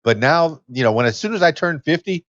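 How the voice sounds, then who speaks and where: A man in his fifties, a podcast host and DJ, chatting in a lively, self-deprecating way from a home studio. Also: on the high side, fairly quick, no room sound, muffled recording. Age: 50s. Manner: lively, self-deprecating, talks about himself with gusto. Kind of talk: casual interview chat. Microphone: desk mic on a boom arm.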